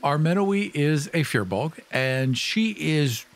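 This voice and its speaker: A man's voice: fairly high pitch, animated, takes it slowly. Man chatting as a tabletop game player. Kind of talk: casual explanatory game chat.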